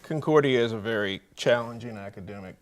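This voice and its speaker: A man's voice: mid-range voice, a little fast. An American man hosting a college sports show, asking questions in a conversational interview style.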